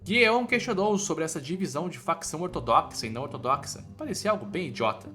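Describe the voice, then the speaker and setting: A man's voice: fairly high pitch, animated, quick pace, pushed and bright, faint music behind. A man with a Brazilian accent doing scripted story narration in an informal, playful way with slangy wording. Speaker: recap narrator.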